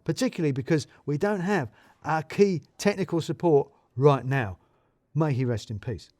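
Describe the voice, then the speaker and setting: A man's voice: a little high, normal speed, a little mellow, no room sound, studio quality, lightly acted. A man voicing a self-important male office boss in an audiobook story, speaking in a pompous, emphatic, jargon-heavy way. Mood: rallying, bossy.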